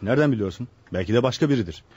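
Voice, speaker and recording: An actor playing a sceptical, teasing friend who argues dismissively in an old radio play. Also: male voice, medium pitch, expressive, racing, lo-fi sound, background noise, close to an everyday voice. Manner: dismissive, argumentative. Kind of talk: old radio theater acting.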